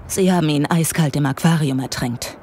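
An actress playing a determined police detective inspector in a radio play. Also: female voice, sits low, moderate pace, darkish tone, slight background noise, lightly acted. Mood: stern, disapproving.

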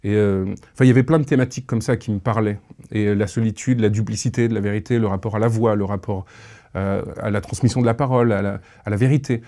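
A man in his thirties speaking reflectively in an interview, halting and thoughtful, on a lapel mic. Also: fairly low pitch, steady tone, mellow. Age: 30s. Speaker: interviewee, audio fiction creator.

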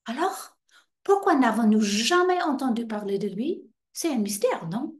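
A woman's voice: on the high side, sing-song, unhurried. A woman playing a playful American guide. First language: English. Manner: playful, teasing asides to the audience. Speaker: actor performing a monologue. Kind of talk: theatrical monologue screen test. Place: indoor stairwell by a wrought-iron railing.

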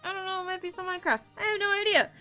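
A woman's voice: extremely high pitch, fairly even intonation, rapid-fire, bright, forceful tone, soft background music. A young adult woman streamer wearing a headset, chatting playfully with her viewers as she signs off. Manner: chatty, playful, addresses chat as guys. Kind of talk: casual stream sign-off. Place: small room indoors.

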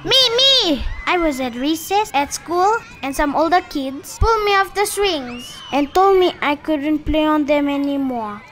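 A woman playing an eager, childlike young schoolboy, a loyal friend, in an animated comic. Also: sits high, a little slow, few pauses, background noise. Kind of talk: animated motion comic acting.

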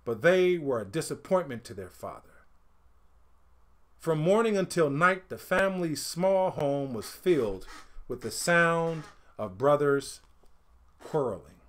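A middle-aged man reading a picture book aloud as an expressive storyteller, with deliberate, emphatic phrasing that falls away at phrase ends. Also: on the high side. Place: indoors, small home studio room.

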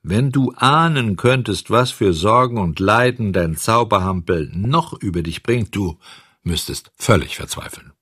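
A deep-voiced man tells a children's story with dramatic expression. His voice is breathy and husky, and the recording is close and dry. He addresses young listeners directly. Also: lively intonation, a little slow, fairly bright tone, no room sound. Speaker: audiobook narrator.

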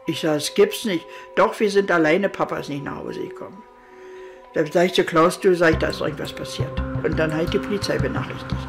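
A woman's voice: fairly low pitch, fairly quick. An older woman giving a spontaneous interview, recalling events in plain, short phrases. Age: older, grandmother. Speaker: interviewee, relative of missing man. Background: guitar music.

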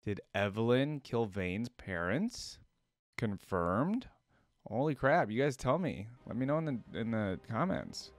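A man's voice: medium pitch, big pitch swings, measured pace, dry recording. A man in his twenties giving casual reaction commentary as the host of a video.

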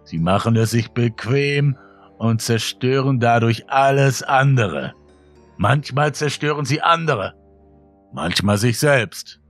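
A man voicing a sinister, smiling dealer of happiness, with a sweetly polite, slow and menacing manner in a horror story. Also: medium pitch, a bit bright, soft background music, voice pushed up. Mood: coldly smug.

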